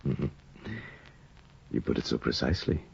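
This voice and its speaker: An actor playing a sly, scheming older judge in a 1950 radio drama, insinuating and hesitant, on a dull old broadcast recording. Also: male voice, a bass voice, creaky, darkish tone, husky, heavily acted. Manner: insinuating, hesitant with drawn-out ums. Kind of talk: 1950s radio drama acting.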